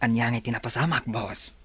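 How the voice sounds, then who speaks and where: A man's voice: medium pitch, fairly bright tone, husky, full character voice. A man playing a boss's nosy, familiar sidekick in a radio comedy drama.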